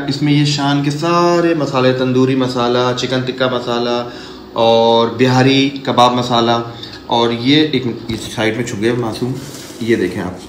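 Chatty man in an echoey home kitchen. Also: medium pitch. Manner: chatty, explains each step, sprinkles English phrases and Islamic blessings. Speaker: cooking vlogger. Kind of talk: casual cooking vlog to camera. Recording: echoey kitchen with background noise.

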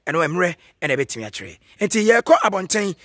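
A man's voice: high voice, big pitch swings, normal speed, a bit bright, no room sound, studio quality. Ghanaian man reporting on site. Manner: walking tour, pointing things out. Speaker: field reporter. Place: outdoors at a stadium.

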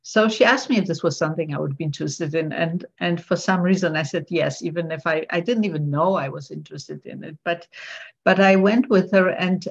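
An older woman from Poland, a guest on a video call interview, speaking earnestly with many small restarts and repeated words. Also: low-pitched. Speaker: guest author. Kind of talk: casual interview chat. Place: bright room at home. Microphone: earbuds on a video call. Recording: dull lo-fi video call audio.

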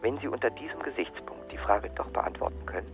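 Male call-centre agent for radio drama: rapid, oily-polite patter, never takes no for an answer, slightly muffled sound. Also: fairly low pitch, animated, creaky, faint music behind. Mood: soothing, probing. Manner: unctuous, formulaic sales patter, insistent. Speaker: customer service agent. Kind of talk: radio drama acting, scripted phone dialogue.

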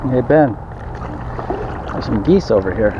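A man's voice: medium pitch, expressive. Man talking to himself while kayak fishing. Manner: talks to himself and his buddy while fishing, quick exclamations. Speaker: fishing vlogger. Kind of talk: casual running commentary. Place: outdoors on a lake in a kayak. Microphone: action camera on the kayak. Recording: dull action-cam audio with water and wind noise.

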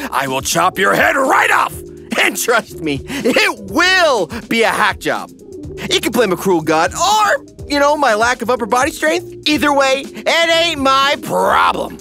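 A man's voice: very high-pitched, sharp tone. A man delivering scripted comedic narration in a theatrical, sarcastic manner as a recap host.

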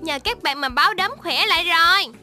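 A woman's voice: very high voice, expressive, fairly quick, sharp tone, faint music behind, exaggerated voice. A woman voicing a cheerful, caring little baby shark doctor in a children's cartoon, encouraging and sing-song.

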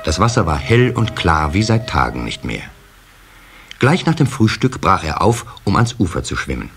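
A man narrating a children's radio play as an expressive storyteller. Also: low voice, normal speed, faint music behind.

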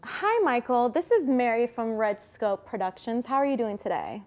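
A woman's voice: on the high side, sing-song, normal speed, a little mellow. A woman in her twenties improvising a prank call as a producer, gushing and swearing freely, recorded in a living room. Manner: gushing, profane. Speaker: prank caller.

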